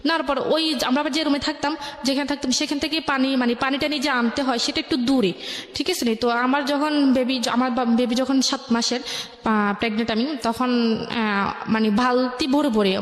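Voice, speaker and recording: Echoing reverberant room, young woman, Bangladeshi accent, higher-pitched, halting personal storytelling, rambling. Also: steady tone. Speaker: guest telling her life story. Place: echoey indoor radio studio. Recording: echoey room with long reverb.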